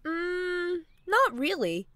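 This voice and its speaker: A woman's voice: very high-pitched, very animated, extremely slow, pushed and bright, dry recording, full character voice. A woman playing a feisty, food-loving teenage schoolgirl in an amateur audio drama. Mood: dreamy, blunt.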